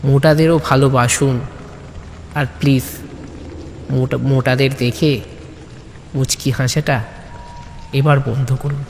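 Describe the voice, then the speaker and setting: A man's voice: mid-range voice, fairly even intonation, a little slow, long pauses. A man narrating an audio story as host, emphatic and repeating phrases for effect. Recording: long reverb, clear vehicle-like background noise.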